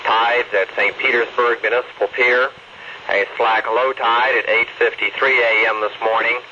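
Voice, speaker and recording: A man's voice: medium pitch, little pitch movement, normal speed, sharp tone. A man reading a weather forecast as a radio announcer, in a formal, clipped manner. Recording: dull noisy radio broadcast recording.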